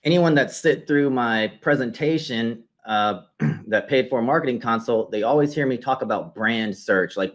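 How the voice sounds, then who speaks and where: A man interviewing a client and conversationally explaining results on a shared screen, step by step. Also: lowish voice. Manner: explanatory, walks listener through results step by step. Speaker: interviewer and marketing consultant.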